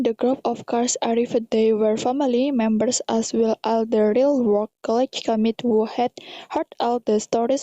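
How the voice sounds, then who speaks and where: High, muffled young Indonesian woman narrating. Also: dark tone. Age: young adult. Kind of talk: scripted story narration, read out. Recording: muffled, roomy home recording.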